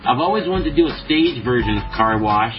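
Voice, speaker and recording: A man's voice: mid-range voice, lively intonation, brisk, muffled recording, over music. A man guest on a podcast, talking in a laid-back, rambling way, full of swearing and fillers. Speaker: podcast guest, show promoter. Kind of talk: casual chat.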